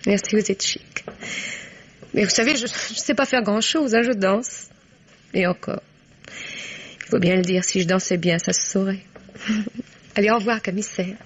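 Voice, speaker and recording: An actress playing a broke, self-mocking dancer in a French radio mystery, frank and rueful, with a breathy, creaky voice. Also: female voice, medium pitch, measured pace, pauses often. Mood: resigned. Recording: dull old radio recording.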